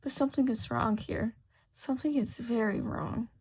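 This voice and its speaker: A woman's voice: a little high, steady tone, moderate pace, husky. A woman voicing an anxious young storybook Duchess, by turns prim and urgent. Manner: clingy, switches between prim loud and urgent hushed.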